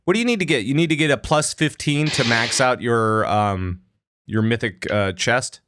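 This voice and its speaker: A man's voice: medium pitch, lively intonation, normal speed, background noise. A man hosting a podcast, chatting casually about a game and rambling with many fillers and restarts. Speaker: podcast host. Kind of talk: casual gaming chat.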